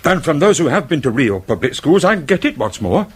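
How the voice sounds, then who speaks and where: Here is an actor as a prickly office worker in a period radio drama. His slightly rough voice swoops up and down. He speaks formally, like someone forever slighted and conscious of class. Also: a little high, big pitch swings, a little fast. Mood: resentful, haughty. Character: touchy, class-conscious male office worker nursing grievances.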